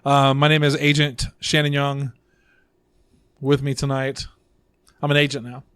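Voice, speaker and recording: A man's voice: medium pitch, completely flat delivery, measured pace, fairly bright tone, clear, resonant tone, no room sound, clean studio sound. A man hosting a casual group podcast, rambling and joking while he is losing his voice. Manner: rambling, self-deprecating, joking. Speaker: podcast host. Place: small room around a table.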